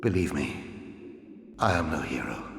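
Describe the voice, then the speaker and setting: Deep, weary, dying aquatic engineer. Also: male voice, lively intonation, creaky, a little hiss or hum, full character voice. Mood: resigned, noble. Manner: halting, solemn, grave. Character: weary, dying male Undyne substation engineer, mournful and noble. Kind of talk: video game cutscene acting. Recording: echoey hall with long reverb.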